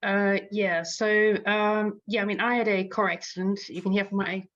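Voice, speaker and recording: A woman with a South African accent, a patient advocate speaking on a panel from an online call, measured and hesitant with many fillers. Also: medium pitch, fairly even intonation, fairly bright tone, dull audio. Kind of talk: panel discussion, joining online. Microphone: video call.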